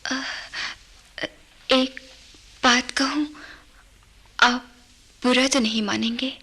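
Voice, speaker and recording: An anxious young wife in a radio play. Her voice is high and bright, and she talks slowly, feeling her way tentatively through each phrase. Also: fairly even intonation, slightly rough, heavily acted. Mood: worried, hesitant. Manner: halting, tentative. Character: hesitant, anxious daughter-in-law and wife. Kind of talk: radio drama acting.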